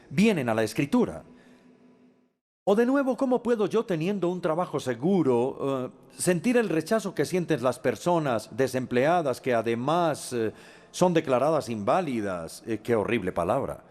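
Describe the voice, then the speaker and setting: Lively, halting male Bible teacher. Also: fairly high pitch. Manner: measured, with frequent hesitant fillers. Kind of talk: dubbed teaching talk.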